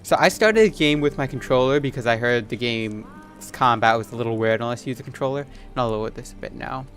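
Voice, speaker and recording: A man giving casual let's play commentary as a gaming video host, rambling and thinking out loud. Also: mid-range voice, fast talker, soft background music.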